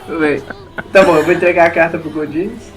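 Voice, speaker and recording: A man's voice: a little high, fairly even intonation, fast talker, a crowd in the background. A Brazilian man chatting casually and slangily as a player in a tabletop game, with music behind. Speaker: role-playing game player.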